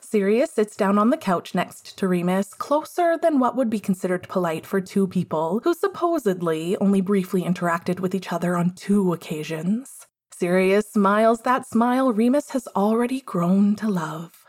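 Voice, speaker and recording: A woman reading a story aloud as its narrator, in a measured storytelling manner. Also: medium pitch, animated, a little mellow. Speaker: fiction narrator.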